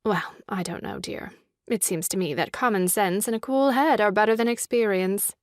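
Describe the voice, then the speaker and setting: An actress playing a brisk, earnest and slightly superior young woman in a theatrical audio farce. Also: medium pitch, no echo, studio quality, lightly acted. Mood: prim rivalry. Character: brisk, opinionated young Englishwoman, sister of new father.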